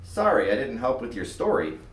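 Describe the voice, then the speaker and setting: A big, loyal schoolboy from a storybook, done by a male reader, casual and friendly. The delivery has lively swings in pitch. The sound is roomy and somewhat distant. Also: moderate pace. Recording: roomy echo, far from mic.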